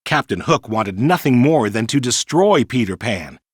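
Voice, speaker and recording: A man reading a children's storybook in an expressive, clearly paced storytelling manner. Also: mid-range voice, normal speed, no room sound, clean studio sound. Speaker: narrator. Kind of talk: scripted children's storybook narration.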